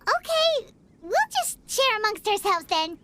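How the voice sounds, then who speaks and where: Squeaky, childlike fairy companion. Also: female voice, big pitch swings, measured pace, sharp tone, heavily acted. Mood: friendly, chatty. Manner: refers to self in third person, bubbly, eager. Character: small chirpy childlike fairy companion, cheerful and talkative. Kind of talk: video game voice acting.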